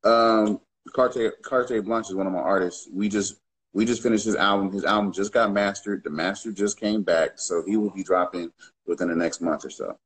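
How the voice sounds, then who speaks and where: A man in his thirties from the US West Coast, a music producer guest talking in a relaxed, slangy way over a webcam from his home studio. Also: fairly low pitch, normal speed, rough recording. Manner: laid-back, slangy, relaxed. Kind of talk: casual livestream interview. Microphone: webcam on a video call.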